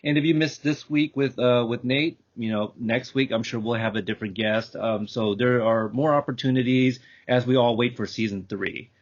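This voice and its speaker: Male podcast host, halting, lo-fi sound. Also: mid-range voice, fairly even intonation. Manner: halting, rambling. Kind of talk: casual, unscripted show wrap-up. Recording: dull, lo-fi call audio.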